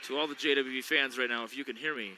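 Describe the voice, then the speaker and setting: A man's voice: mid-range voice, steady tone, fast talker, noisy background. A man doing chatty, joking play-by-play of a local school basketball game from the gym. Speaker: play-by-play announcer. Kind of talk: local sports commentary. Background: gym ambience, bouncing basketball.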